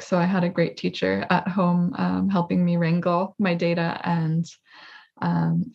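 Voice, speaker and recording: A woman, a native English speaker, explaining her survey research as a guest on a video call in a thoughtful, steady way. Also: lowish voice, vocal fry, highs cut off.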